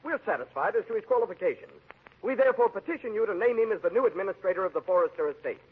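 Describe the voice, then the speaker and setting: Fast, high-voiced earnest lawyer. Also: male voice, animated, slightly rough. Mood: earnest, formal. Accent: American. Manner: formal, deferential. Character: earnest, respectful male lawyer petitioning a judge. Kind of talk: 1940s American radio comedy acting. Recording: thin, telephone-like 1940s radio recording.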